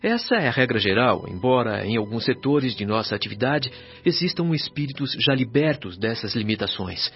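A serene male spirit nurse in a radio drama, patiently teaching in a grave, measured manner. His pitch is average for a man. The recording is a little muffled, with music underneath. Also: expressive, husky. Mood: patient explaining. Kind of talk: radio drama dialogue, explanatory. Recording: slightly dull band-limited sound with music.

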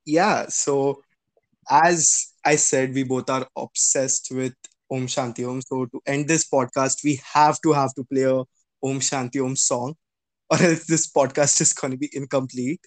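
Casting: young man, easygoing podcast host, mid-pitched, loose and sympathetic delivery. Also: fairly even intonation, unhurried. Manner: sympathetic, repeats exclamations, rambling questions. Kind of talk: casual interview chat.

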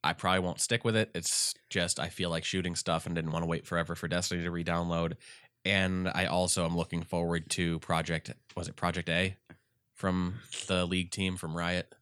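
A man with a congested voice, chatting casually about games as a podcast co-host and rambling through animated retellings. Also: deep voice, brisk, clean tone, no room sound, clean studio sound. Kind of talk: casual gaming chat.

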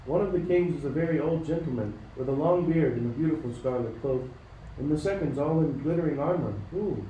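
A man acting as an excited, wide-eyed young child in a radio play, eager and exclamatory. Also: on the high side, fairly quick, lightly acted. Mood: awed. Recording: roomy, distant, faintly noisy recording.

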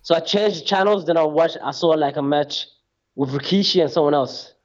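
A man's voice: fairly high pitch, normal speed. A young man, a guest chatting casually and rambling with lots of fillers. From England. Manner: rambling, hesitant, many fillers and restarts. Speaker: guest, wrestler. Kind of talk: casual interview chat. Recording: dull lo-fi sound.

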